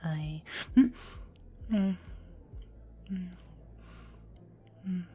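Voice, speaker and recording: A woman's voice: on the low side, animated, very slow, warm tone, slight background noise. Woman whispering as a teasing vampire girl. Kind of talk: ASMR roleplay.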